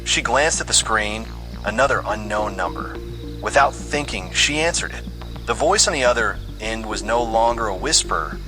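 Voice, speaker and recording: Low-voiced man narrating horror over music. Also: expressive, normal speed, low-quality recording. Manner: dramatic, suspenseful delivery. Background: music under the voice.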